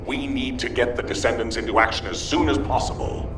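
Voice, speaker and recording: An actor playing a serious, authoritative military commander in a video game, firm and formal. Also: male voice, mid-range voice, fairly even intonation, brisk, natural voice. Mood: serious, commanding. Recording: roomy, distant, music behind.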